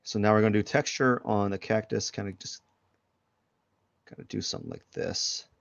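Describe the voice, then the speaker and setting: A man with a cold talks in a low, gravelly voice, sniffling as he rambles loosely through his drawing steps. The sound is dry and dull, like lo-fi stream audio with no room echo. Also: wildly swooping pitch, normal speed. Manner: loose and rambling, many fillers. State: has a head cold, sniffling. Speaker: artist streaming a drawing session. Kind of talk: casual live drawing commentary.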